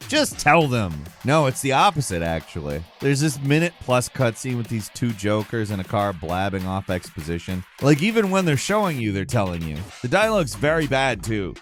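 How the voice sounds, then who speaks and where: A man giving casual scripted commentary in a sardonic, conversational way, with mock emphasis. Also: mid-range voice. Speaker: video narrator. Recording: music bed behind voice. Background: background music.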